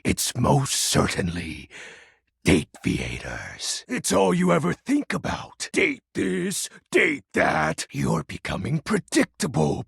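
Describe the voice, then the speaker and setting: A brooding video game shadow lord with a very husky, gravel-laden voice. His grandiose speech swings dramatically in pitch, full of theatrical menace and melodrama. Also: a bit bright, no room sound, studio quality, exaggerated voice. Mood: smug, teasing. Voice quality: raspy, gravelly. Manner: grandiose, archaic phrasing with sudden casual asides.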